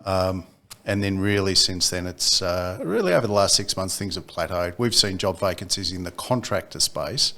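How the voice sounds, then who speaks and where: A man, a company CEO talking as a podcast guest in a measured way, often pausing with ums and repeating words. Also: low voice, expressive, sharp tone. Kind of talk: casual business chat.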